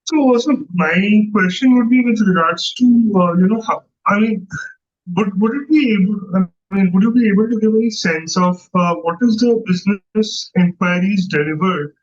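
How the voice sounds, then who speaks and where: A man's voice: high voice, moderate pace, dull audio. A man calling in as an analyst, asking hesitant, rambling questions on an earnings call over an unclear line. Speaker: analyst caller.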